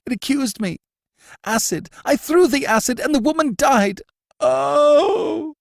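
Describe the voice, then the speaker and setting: A guilt-haunted prisoner gasps and wails in shrill panic. His voice leaps high above the narrator's own and swings wildly. It is recorded dry and close. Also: expressive, measured pace, gravelly, dry recording, full character voice. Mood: terrified. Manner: gasping, shrill, panicked wailing. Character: terrified male prisoner, a guilt-haunted murderer.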